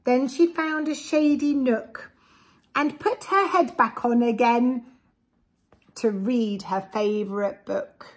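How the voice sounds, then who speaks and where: A woman in her forties with an English accent, reading a rhyming picture book to young children in an expressive, deliberate way, with simple asides. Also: on the high side. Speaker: preschool storyteller. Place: small indoor room with bookshelves. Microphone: camera a short distance away.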